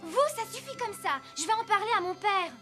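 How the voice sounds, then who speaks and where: A woman's voice: very high-pitched, a little fast, over music. A woman voicing a plucky, caring, outspoken child in a cartoon, speaking boldly and earnestly. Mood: indignant, bold. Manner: bold, earnest, scolding adults without fear.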